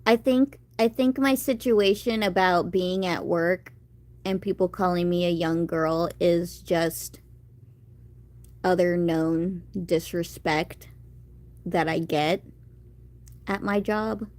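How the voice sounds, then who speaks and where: A woman's voice: on the low side, steady tone, slow, a little mellow. A woman in her thirties chatting casually on a live stream, rambling about her day and answering viewers. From United States. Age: 30s.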